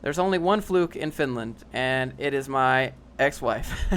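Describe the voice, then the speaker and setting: A man's voice: mid-range voice, expressive, a little slow, a bit bright, slight background noise. A young man casually reading and answering chat on a livestream, dry and sarcastic, often rambling.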